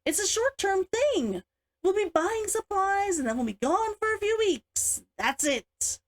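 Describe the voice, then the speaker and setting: A woman's voice: very high voice, sing-song, unhurried, voice pushed up, exaggerated voice. A woman reading the part of a proud, bitter and defiant younger sister in a storybook. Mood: insistent. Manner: defiant, challenging.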